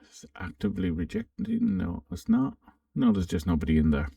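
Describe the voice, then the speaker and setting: A man giving a casual, unscripted software walkthrough, thinking aloud with some hesitation as he presents. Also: mid-range voice, wildly swooping pitch, moderate pace, vocal fry. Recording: roomy, slightly distant microphone.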